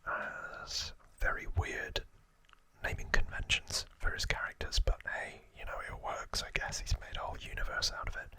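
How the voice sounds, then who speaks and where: A man whispering as an ASMR host, telling trivia in a hushed, halting storytelling manner. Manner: hushed, slow, halting delivery.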